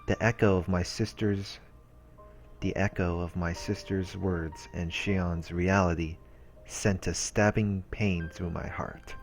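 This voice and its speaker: A man's voice: low-pitched, fairly even intonation, takes it slowly. A man reading visual novel text aloud as a let's play host, in a narrating style. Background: soft game music.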